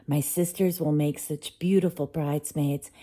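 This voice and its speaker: A woman voicing a dreamy, excitable young mermaid princess with a gushing, coaxing manner in a bedtime story. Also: low voice, moderate pace. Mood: dreamy excitement.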